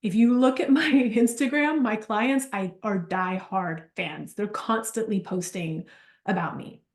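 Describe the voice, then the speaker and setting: A woman's voice: mid-range voice, measured pace, echoey room. A woman giving a live business workshop, telling chatty stories with lots of asides. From Argentina. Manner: animated, chatty, storytelling with asides. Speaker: business coach.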